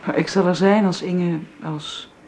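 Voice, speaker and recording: A woman's voice: fairly low pitch, steady tone, unhurried, darkish tone, natural voice. A woman playing a polite, reticent young woman with a hesitant, restrained delivery in a radio drama, recorded dully with faint hiss. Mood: tender, subdued.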